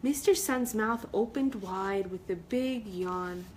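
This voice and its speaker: A woman's voice: medium pitch, slow, a little mellow, slight background noise. A woman storyteller reading a children's book and chatting casually on a livestream. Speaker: author and storyteller.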